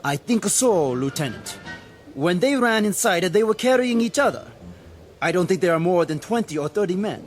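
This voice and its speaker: A high-voiced, dutiful army sergeant. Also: male voice, lively intonation, moderate pace, soft background music. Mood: dutiful, eager. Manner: respectful, earnest. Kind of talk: radio drama acting.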